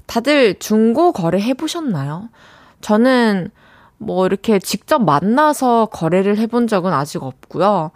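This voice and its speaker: A woman's voice: medium pitch, big pitch swings, measured pace, no room sound, clean studio sound. A young woman hosting a radio show, reading listener messages and chatting about them. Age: young adult. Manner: chatty, warm reactions to listener texts. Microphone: studio mic.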